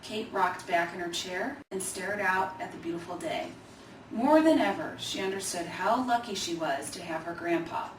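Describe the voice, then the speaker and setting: A woman's voice: medium pitch, animated, normal speed. A woman narrating a children's story, reading from a script. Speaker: storybook reader. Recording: roomy, distant mic, faint noise.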